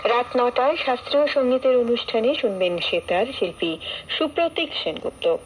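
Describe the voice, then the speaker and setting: A woman with a West Bengal accent reads a scripted programme schedule as a radio announcer, in a formal, measured way, over a shortwave broadcast. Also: fairly high pitch, slight background noise, rough recording.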